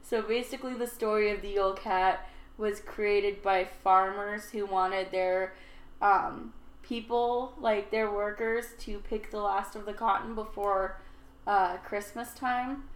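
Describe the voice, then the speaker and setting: A woman's voice: medium pitch, steady tone, unhurried, reverberant. A woman co-hosting a podcast, chatting casually and hedging her thoughts with "like" and "I just feel". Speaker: podcast co-host. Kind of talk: casual chat.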